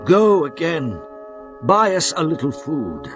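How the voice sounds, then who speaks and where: A man's voice: a little high, huge pitch swings, takes it slowly, exaggerated voice. A man voicing Jacob, an aged father full of sorrow and lament, in a dramatized scripture reading. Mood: grieving. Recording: music bed behind voice.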